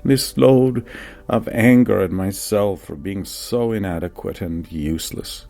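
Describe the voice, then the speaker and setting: A man's voice: fairly low pitch, measured pace, vocal fry, warm tone, soft background music. A man voicing a guilt-ridden young man who confesses his pain plainly in an audiobook testimony. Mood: pained, confessing. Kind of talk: audiobook reading of a personal testimony.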